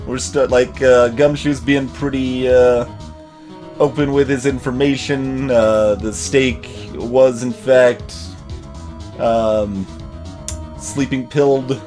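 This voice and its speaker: A man's voice: medium pitch, steady tone, takes it slowly, darkish tone. Rambling man doing casual game commentary. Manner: hesitant, rambling, thinking aloud. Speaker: let's play host. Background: game music.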